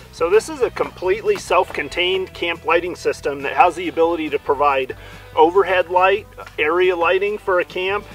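Man in his 40s, outdoor gear reviewer, eager steady explainer, a bit higher pitched, soft music behind. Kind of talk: product review. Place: outdoors in a dry juniper woodland campsite.

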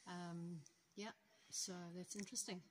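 A woman's voice: on the low side, fairly even intonation, unhurried. A middle-aged South African woman vlogging on a hike, chatting and describing her surroundings as she walks. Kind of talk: casual hiking vlog chat. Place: outdoors on a hiking trail, then inside a long dark rail tunnel. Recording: very echoey tunnel, far from mic, loud wind noise.

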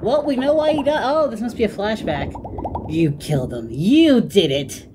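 A woman hosts a reaction video with casual, off-the-cuff commentary. Her pitch moves around in an animated way, and she tends to exclaim and say phrases over again. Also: normal speed, noisy background. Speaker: host of a reaction channel.

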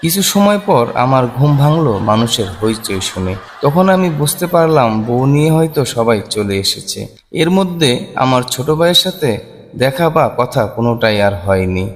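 A man's voice: medium pitch, big pitch swings, moderate pace. A man reading a scripted first-person cartoon story in an earnest, sorrowful manner. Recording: long hall reverb. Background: music.

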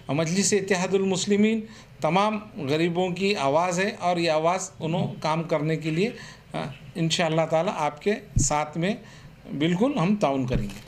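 Man, forties, high pitch, earnest press statement. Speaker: political party representative. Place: small office room, seated at a table. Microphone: press microphones on the table.

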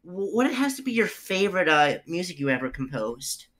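A man's voice: high voice, big pitch swings, moderate pace, a bit bright. A man chatting casually as a fan putting questions to a panel. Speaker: fan asking questions, crew member. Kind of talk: casual chat.

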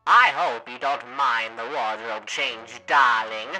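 A man playing a suave, sinister radio demon in an animated comic dub, theatrical, drawling and mockingly polite, through an old radio filter. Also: mid-range voice, slow, music in the background, poor audio. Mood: smug politeness.

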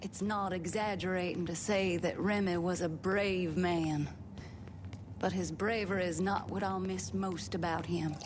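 An actress playing a gracious Southern American society woman, giving a measured, formal eulogy in a video game. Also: lowish voice, fairly even intonation, noisy background, lightly acted. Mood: solemn, gracious. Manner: formal, measured public address.

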